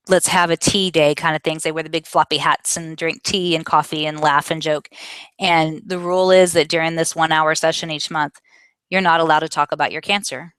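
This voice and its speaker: A woman in her forties with a notably low, very clear and smooth voice. She talks casually and candidly, explaining her answers to viewers and correcting herself along the way. The sound is close and dry. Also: a bit bright, no room sound. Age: 40s. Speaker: vlogger answering viewer questions. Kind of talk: casual question-and-answer talk.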